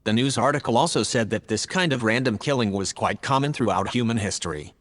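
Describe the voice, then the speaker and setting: A man playing a logical, earnest male artificial intelligence in an audio drama, speaking in a measured, factual, formal way. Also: medium pitch, big-room sound, close to an everyday voice. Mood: earnest, matter-of-fact. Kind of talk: scripted audio drama dialogue.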